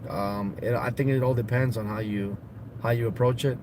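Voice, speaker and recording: A man with a raspy voice from a cold, chatting casually and rambling on a livestream while driving to work. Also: medium pitch, steady tone, moderate pace. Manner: rambling, repeats himself, upbeat. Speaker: crypto channel host. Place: in a car on the road. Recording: loud road and car noise.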